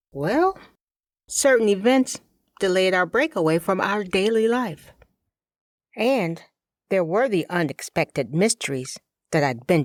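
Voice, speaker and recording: A woman reading first-person fiction as an audiobook narrator, in an expressive, deliberate storytelling manner with measured phrasing. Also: mid-range voice, no room sound, clean studio sound. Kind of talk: first-person scripted fiction narration of a cozy mystery, in character.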